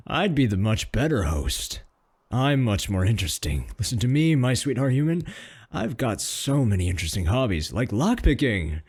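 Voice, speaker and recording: A man playing a clingy, cocky male werewolf in a comedic roleplay audio drama, boastful, sarcastic and pleading, with a husky voice. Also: on the low side, darkish tone, no room sound, heavily acted.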